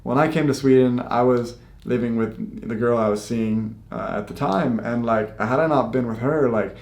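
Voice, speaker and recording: Man from the US, easygoing chatty host, mid pitch, roomy echo. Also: normal speed, vocal fry. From United States. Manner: relaxed, filler-heavy talk with lots of "like". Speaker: YouTuber host. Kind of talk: casual chat.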